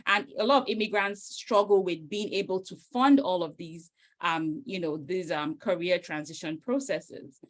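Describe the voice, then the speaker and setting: Casting note: woman from Nigeria, middle-aged, webinar host, mid pitch, eager, chatty. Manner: enthusiastic, warm, uses many "you know" fillers. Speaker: host, career coach. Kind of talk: webinar introduction. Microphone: video call.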